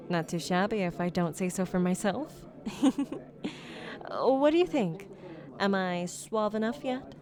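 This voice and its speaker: A woman's voice: mid-range voice, natural voice. A woman playing a shy, geeky young silver fox on a blind date, speaking politely and hesitantly in an ASMR roleplay. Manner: awkward, flustered, hesitant, politely formal. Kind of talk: ASMR roleplay, one-sided conversational acting.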